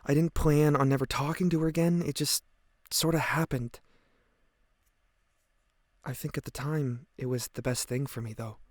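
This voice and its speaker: A man's voice: on the high side, fairly even intonation, moderate pace, long pauses, no echo, clean studio sound, lightly acted. A man acting a guilt-ridden, earnest teenage boy giving hesitant, reflective testimony in an audio drama. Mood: regretful. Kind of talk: audio drama monologue, police interview testimony.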